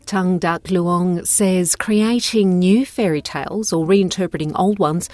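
A woman's voice: lowish voice, unhurried, a little mellow, no room sound. A woman reading scripted documentary narration as a presenter. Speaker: podcast presenter and reporter. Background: background music.

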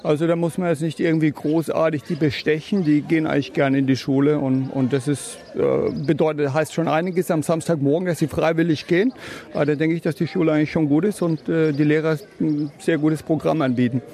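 A man's voice: on the high side, fairly even intonation, fairly quick, mellow. A man, a parent being interviewed, who answers thoughtfully and sometimes restarts his sentences.

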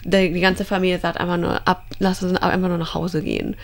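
A woman's voice: fairly low pitch, steady tone, a little fast, vocal fry. A woman in her thirties from Germany, telling a story in a casual interview. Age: 30s. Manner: chatty storytelling. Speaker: interviewee, homeowner.

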